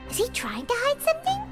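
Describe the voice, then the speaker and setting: Very high, squeaky excitable little fairy girl. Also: exaggerated voice. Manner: whiny, exclaiming, speaks of herself in third person. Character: tiny excitable floating fairy-like girl companion, always hungry. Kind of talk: anime-style video game voice acting.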